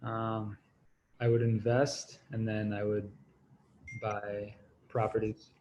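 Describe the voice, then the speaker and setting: A man's voice: fairly low pitch, completely flat delivery, takes it slowly, a little mellow, dull audio, a little hiss or hum. A twenty-year-old man from Connecticut with an American accent, giving casual answers on a video call. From Connecticut, United States. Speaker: dating show contestant, college student. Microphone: video call microphone.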